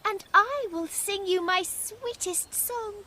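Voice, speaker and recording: A woman's voice: very high-pitched, slow pace, noisy background. A woman voicing a romantic, devoted little nightingale in a storybook, earnest, wistful and pleading.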